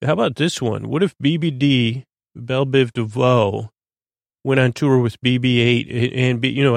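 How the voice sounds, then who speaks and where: A man hosting a bedtime podcast in a meandering, halting monologue, stammering and drifting off on gently silly, whimsical tangents. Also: medium pitch, no echo. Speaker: podcast host. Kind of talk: rambling bedtime monologue to help listeners sleep.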